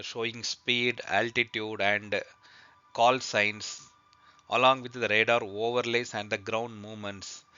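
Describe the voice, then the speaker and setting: A man who is a non-native English speaker presents a slide lesson, partly reading, in a halting, hesitant way with repeated words. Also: mid-range voice, bright, forceful tone, dry recording, lo-fi sound. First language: not English. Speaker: presenter of an aviation training lesson.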